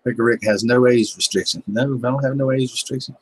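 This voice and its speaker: A man's voice: mid-range voice, fairly even intonation, a little fast, a little hiss or hum, rough recording. A man in his fifties with a Southern American accent, chatting casually on a livestream in a laid-back, joking, folksy way. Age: 50s. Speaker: livestream host, gardening channel. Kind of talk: casual chat reading viewer comments.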